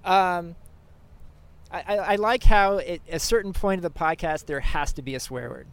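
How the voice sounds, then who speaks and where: Easygoing man with a higher voice. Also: moderate pace, a bit bright, smooth. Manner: easygoing, teasing. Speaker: podcast host. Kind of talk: casual chat and ad read.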